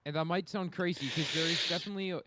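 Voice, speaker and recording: A man's voice: fairly high pitch, racing, crowd noise, rough recording. A man chatting casually as a panelist on a podcast. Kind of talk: casual chat.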